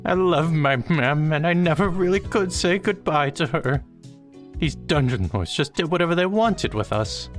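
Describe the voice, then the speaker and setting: A man voicing a timid, panicky little male ghost who squeaks and stammers when nervous. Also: big pitch swings, measured pace, faint music behind. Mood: wistful.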